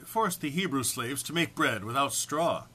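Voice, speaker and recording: A man's voice: mid-range voice, animated, normal speed, sharp tone, a little hiss or hum. A man informally introducing and reading comic text aloud in a mock-solemn manner, struggling to keep from laughing. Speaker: amateur reader recording for an online post.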